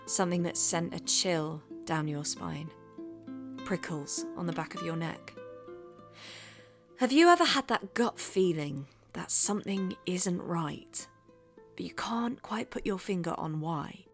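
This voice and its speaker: Woman narrator, English accent, conspiratorial, low, faint music. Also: expressive, a little slow. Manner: hushed, conspiratorial storytelling. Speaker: podcast host and narrator. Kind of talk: scripted narration.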